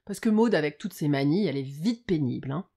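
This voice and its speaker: A woman's voice: sits low, expressive, fairly quick, warm tone. Lilting, chatty older mother, played by an actress. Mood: fussing, chatty. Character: chatty, fussing retired mother. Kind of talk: French audio drama acting.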